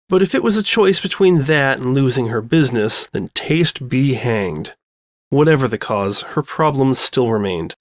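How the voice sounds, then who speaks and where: Male story narrator, mid pitch, dry sound, scripted fan fiction reading. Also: dry recording.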